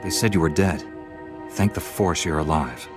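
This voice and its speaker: Jedi knight, male actor: very low voice, earnest and composed game hero, music behind. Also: moderate pace, natural voice. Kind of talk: video game cutscene acting.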